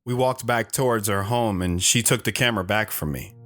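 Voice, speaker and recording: A man's voice: on the low side, a little fast, fairly bright tone, soft background music, close to an everyday voice. A man playing a shy, hesitant man recalling his past. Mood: reflective, shy. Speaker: narrator and guest. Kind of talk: audio drama narration.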